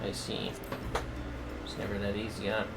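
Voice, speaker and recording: A man chatting casually on a gaming livestream, sounding drowsy and muttering his low-key commentary. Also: monotone, normal speed, creaky, very noisy. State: tired, drowsy. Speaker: game livestreamer.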